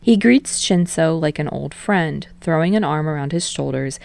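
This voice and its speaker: A woman's voice: deep voice, moderate pace, gravelly, darkish tone. A woman reading fiction aloud as scripted audiobook narration.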